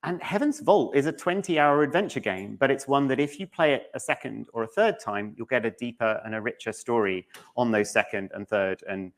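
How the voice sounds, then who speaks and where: A man speaks as a presenter at a conference. His voice sits at a medium pitch, and he talks in a conversational way, dropping in wry, offhand asides as he addresses the room. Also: a little fast. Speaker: conference speaker, game studio narrative director. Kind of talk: public conference talk, conversational. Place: conference room in front of an audience.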